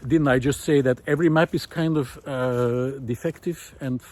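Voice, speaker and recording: A man talking reflectively to the camera as he walks outdoors on a mountain forest path, pausing often with fillers and restarts. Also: mid-range voice, fairly even intonation, darkish tone. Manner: thoughtful, hesitant, many fillers and restarts. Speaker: vlog host. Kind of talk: reflective walking monologue.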